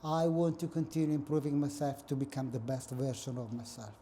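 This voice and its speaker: A middle-aged man with an Italian accent, a guest professor chatting in a small office. His voice is very dark and mellow, and he makes dry, self-mocking jokes. It sounds picked up by a camera some way off. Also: mid-range voice, flat delivery, brisk, slight background noise. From northern Italy. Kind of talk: casual interview. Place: small office room with table.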